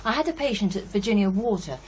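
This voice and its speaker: An actress playing a candid, sceptical psychiatrist in a radio drama, with a hesitant, thoughtful way of talking. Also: female voice, medium pitch, lively intonation, fast talker, a little mellow, a little hiss or hum, lightly acted. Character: brisk, candid female psychiatrist, sceptical yet unsettled. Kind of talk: naturalistic radio drama dialogue.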